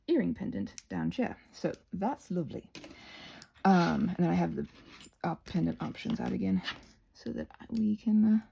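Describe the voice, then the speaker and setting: A woman with a lively, swooping delivery and a slightly husky, creaky edge hosts a casual craft tutorial. She rambles chattily through her steps, drifting into playful, self-deprecating asides. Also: medium pitch.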